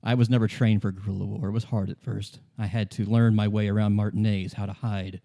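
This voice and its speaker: A man acts out an aged deserter and former commissar in a radio play. His voice is low and very mellow, with little edge. He is bitter and worn down, yet grimly insistent and defiant. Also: level tone, vocal fry. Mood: haunted, reminiscing. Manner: halting, repeating himself, grimly insistent.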